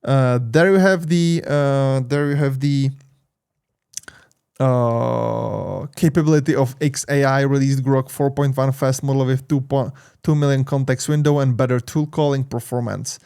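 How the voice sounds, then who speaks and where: A young man with a Czech accent gives casual tech news commentary, pausing often on fillers and restarting his sentences. His voice has a gravelly fry, but it stays smooth and resonant, and his pitch sits in a middling range. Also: fairly even intonation, darkish tone. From Czech Republic. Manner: hesitant, many fillers and restarts.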